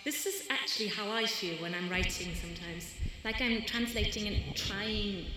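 A woman's voice: mid-range voice, moderate pace, music in the background. A woman talking as a poet in a thoughtful literary conversation, recorded in an echoey, reverberant hall.

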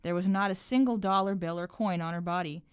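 A woman's voice: lowish voice, brisk, clean tone, dry recording, natural voice. Whiny, earnest little girl played by a woman, storybook reading, stubborn and literal, dry close sound. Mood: puzzled, earnest. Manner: whining, indignant. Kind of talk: short story read aloud.

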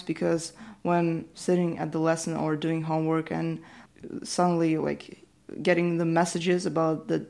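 A seventeen-year-old woman from Ukraine, a native Ukrainian speaker, answers an interview. Her voice sits low and has a gravelly fry. She hesitates often and fills pauses with "like" and "uh.". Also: darkish tone. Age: teens. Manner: hesitant, many fillers and restarts. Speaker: student interviewee.

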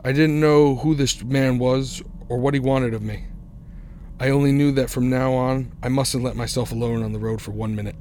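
An actor playing a haunted, anxious young driver in a radio drama, narrating earnestly and stammering when agitated. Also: male voice, medium pitch, steady tone, normal speed, a little mellow, slight background noise, lightly acted. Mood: tense dread. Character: haunted, anxious young male cross-country driver narrating his ordeal.

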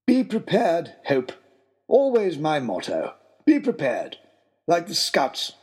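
Pompous, irritable lord leading an expedition, played by a male narrator, blustering and animated, for an audio adventure story. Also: fairly high pitch, slow pace, husky, pitched above the performer's own voice, full character voice. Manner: pompous, bellowing.

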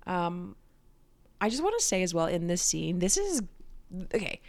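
A woman's voice: lowish voice, very animated, moderate pace, darkish tone. A young woman co-hosting a podcast, chatting casually about a sitcom episode, swearing and saying "like" a lot. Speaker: podcast co-host.

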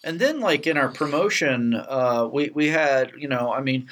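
Halting male podcast host in casual chat. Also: mid-range voice, lively intonation, a bit bright, a little hiss or hum. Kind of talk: casual round-table chat.

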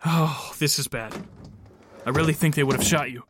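A man's voice: on the high side, steady tone, normal speed, a bit bright, breathy edge. A man playing an anxious, exasperated hotel guest in an audio drama, earnest and scolding. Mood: worried.

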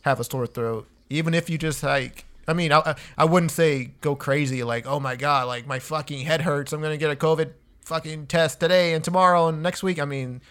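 A relaxed man chatting on a podcast, handing out easygoing advice. His talk is loose and friendly, full of filler and slang. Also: on the high side, a little fast. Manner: laid-back, gives advice, says "man" and "dude" a lot. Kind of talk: casual podcast chat.

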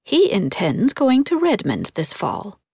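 A proper, disapproving old housekeeper, put on by a woman narrator in a storybook. Her voice is husky and lively in pitch, with prim, formal phrasing. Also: measured pace, dry recording, studio quality, full character voice. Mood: formal, reading out. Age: older.

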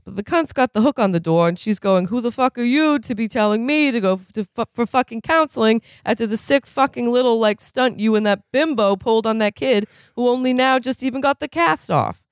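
A reader putting on a profane, rambling man in recovery who is quick to rage and keeps asking if you know what he's saying. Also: medium pitch, no room sound, clean studio sound, voice pushed up, natural voice. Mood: resentful. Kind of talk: reading a novel aloud, putting on a character.

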